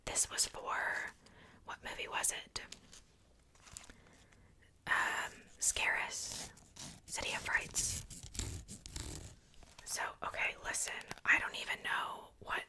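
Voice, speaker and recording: A woman speaking in a breathy, unvoiced whisper in a casual ASMR show-and-tell style, hushed and informal. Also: slow, pauses often. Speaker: ASMR video creator.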